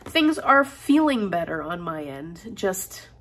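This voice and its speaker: A woman's voice: on the low side, sing-song, slow. A woman in her thirties, congested with a head cold, chatting casually and upbeat to camera in her living room. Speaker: book vlogger. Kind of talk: casual vlog chat.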